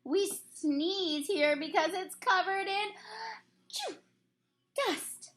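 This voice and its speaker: Bright, high-voiced woman reading to kids. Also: drawn-out words. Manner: sing-song, expressive storybook reading. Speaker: teacher reading a picture book aloud. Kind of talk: children's read-aloud.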